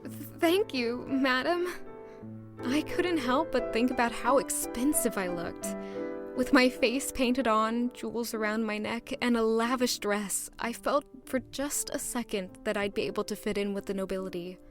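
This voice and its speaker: A woman plays a young commoner heroine in an audio drama, shy and introspective. Her voice sits a little high, nervous yet hopeful, with light music underneath. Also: moderate pace, lightly acted. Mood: flustered, shy. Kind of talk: audio drama narration and dialogue.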